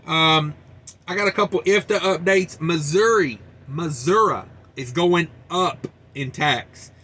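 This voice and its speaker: Bright-voiced rambling trucker news host. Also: male voice, on the high side, takes it slowly. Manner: rambling, joking asides. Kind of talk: casual news commentary.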